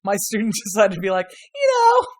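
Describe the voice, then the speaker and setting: A woman's voice: mid-range voice, wildly swooping pitch, a little fast. Rambling, laughing woman podcast guest. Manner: rambling and laughing, full of "like" and "you know", swears casually. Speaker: guest, university history lecturer. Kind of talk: casual podcast chat.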